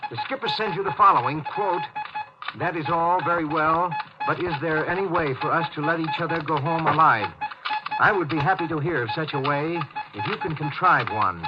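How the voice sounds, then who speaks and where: An actor playing an earnest, deferential spaceship communications officer in 1950s radio drama, explaining things respectfully and hesitantly. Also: male voice, on the high side, huge pitch swings, moderate pace, loud music behind the voice. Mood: careful, grave. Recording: dull, band-limited 1950s radio recording.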